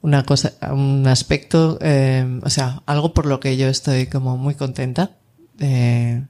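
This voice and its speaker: A woman's voice: very deep voice, level tone, unhurried, dark tone. A woman hosts a radio show in easy, casual chat. She laughs often and trips over her words, restarting phrases as she goes.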